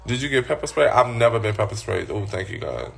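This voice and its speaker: A man's voice: fairly low pitch, very animated, fast talker, vocal fry. A man hosting a casual livestream, bluntly and sassily answering viewer comments from his phone. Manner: blunt, sassy, talks back to commenters. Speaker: livestream host.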